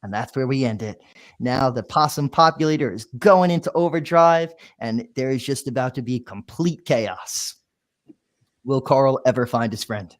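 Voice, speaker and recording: A man's voice: mid-range voice, lively intonation, moderate pace. A man, a children's author, reading his picture book aloud playfully, with lots of sound effects.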